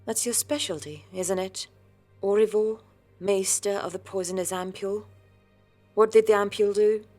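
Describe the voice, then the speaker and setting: A woman playing a stern, suspicious elder sister, a dark elf warrior in an audio drama, cutting and imperious. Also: mid-range voice, fairly even intonation, moderate pace, close to an everyday voice. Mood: stern, accusing. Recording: music under the voice. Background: music.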